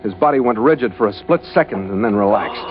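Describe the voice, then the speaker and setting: An actor playing a tough, laconic ship's skipper in an old-time radio adventure drama, terse and hard-boiled. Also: male voice, fairly low pitch, expressive, quick pace, lightly acted. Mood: grim, matter-of-fact. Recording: dull, band-limited old radio recording with music.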